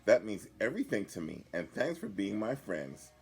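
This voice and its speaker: An older man voicing a gentle, heartfelt young male animal from a children's storybook, in a gravelly voice. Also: lowish voice, very animated, normal speed, full character voice. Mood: grateful.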